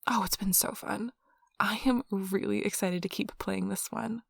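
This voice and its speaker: A woman playing a nerdy, affectionate girlfriend in an ASMR roleplay, rambling softly and casually in a gushing, breathy voice. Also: mid-range voice, fairly even intonation, normal speed, no room sound, studio quality. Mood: gushing, excited. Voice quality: soft, breathy, slightly husky. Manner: gushing, casual, giggly, chatty. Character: nerdy affectionate girlfriend, excitedly rambling about tabletop gaming. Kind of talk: soft-spoken ASMR roleplay, intimate rambling. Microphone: close microphone, dry.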